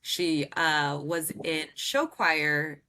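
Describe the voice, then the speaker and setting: This is a woman in her thirties, a singer from Southern California, talking about how she started singing. Her voice is loud and strong, with a bright, forward edge that sits slightly low. She talks in an animated, loose way, full of fillers and quick laughs. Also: a little hiss or hum. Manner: animated, laughs often, lots of "um" and "like". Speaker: singer and guest. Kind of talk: casual interview storytelling.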